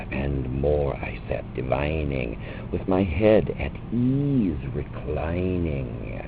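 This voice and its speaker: Very deep, gravelly man reading gothic poetry. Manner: slow, theatrical, drawn-out delivery with long pauses. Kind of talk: dramatic reading of a gothic narrative poem. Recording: muffled lo-fi recording with loud background noise.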